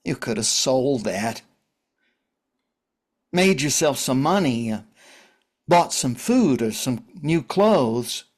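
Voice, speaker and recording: An actor playing a grieving, pleading widower and recovering addict in a drama reading, speaking earnestly and haltingly. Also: male voice, mid-range voice, sing-song. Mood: upset. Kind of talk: script reading of a stage drama.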